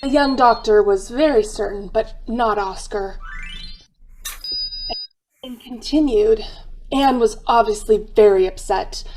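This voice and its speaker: A woman's voice: on the high side, takes it slowly, pauses often, a little mellow, a little hiss or hum, low-quality recording. A woman giving dramatic scripted narration for a mystery radio play, wry and suspenseful.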